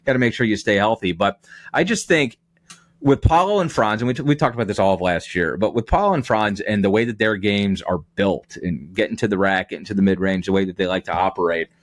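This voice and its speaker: A man's voice: on the low side, a little fast, no room sound. A man giving conversational sports analysis as a podcast guest. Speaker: radio play-by-play announcer, podcast guest.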